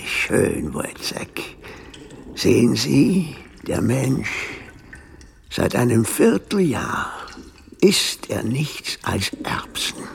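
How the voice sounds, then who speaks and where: An eccentric male doctor from a radio drama, cold and clinical yet excitable. His high voice swoops wildly and drags out clipped observations very slowly. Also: vocal fry, slight background noise, exaggerated voice. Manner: clipped, exclamatory, lecturing. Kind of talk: theatrical German radio drama acting.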